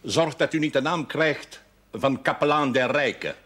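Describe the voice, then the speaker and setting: An actor playing a stern authority figure in a parish, speaking in a formal, reproving way with a husky voice. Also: male voice, medium pitch, normal speed, a bit bright, natural voice. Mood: stern reproach.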